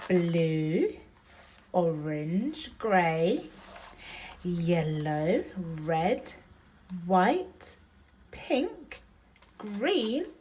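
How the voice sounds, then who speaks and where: A woman's voice: lowish voice, slight background noise. A woman reading a bedtime picture book aloud as a mother to her young child, with sing-song emphasis and chatty asides and questions about the pictures. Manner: child-directed, asking questions and pointing out pictures.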